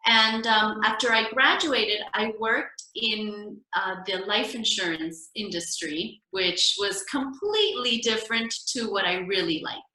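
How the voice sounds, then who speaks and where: A middle-aged woman coach, a podcast guest, telling her story in an earnest, steady way over a remote call from home. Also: medium pitch, unhurried, few pauses. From United States. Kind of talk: casual podcast interview. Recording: echoey room.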